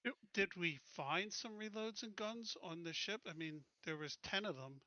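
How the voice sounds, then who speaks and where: Bright, higher-pitched man playing a tabletop game. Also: moderate pace, dry recording, dull audio. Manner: questioning, checking the rules. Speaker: player in a tabletop roleplaying game. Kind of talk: casual game-table discussion.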